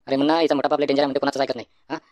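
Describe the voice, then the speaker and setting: Cartoon casting: brash young village guy played by a man, Varhadi Marathi accent, very quick, dry studio sound. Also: mid-range voice, flat delivery, darkish tone, no echo, close to an everyday voice. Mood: earnest, persuading. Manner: confident, colloquial. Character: brash, take-charge young village friend, male. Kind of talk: comedy cartoon dialogue.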